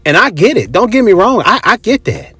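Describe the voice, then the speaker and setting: A man's voice: high-pitched, very animated, a little fast, pushed and bright, husky, dry recording. Middle-aged male storyteller, casual and emphatic, straight-talking delivery with music behind him. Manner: blunt, emphatic, conversational. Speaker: host.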